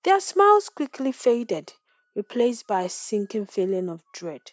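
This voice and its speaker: A woman's voice: on the high side, sing-song, measured pace, no echo. A woman storyteller narrating a folktale in a scripted, dramatic style.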